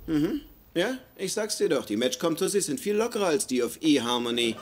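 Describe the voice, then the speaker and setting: A man's voice: fairly high pitch, big pitch swings, a little fast, very noisy. An actor playing an awkward, mild-mannered nerdy man with a hesitant, wordy way of talking in a dubbed sitcom. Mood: casual boasting.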